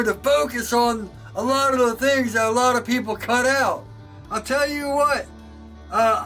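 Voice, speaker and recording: A man talking casually to his camera about knifemaking, loud and excitable, laughing often and projecting because he can't talk softly. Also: extremely high pitch, distant mic, poor audio. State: sore throat. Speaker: knifemaker and channel host. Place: home workshop.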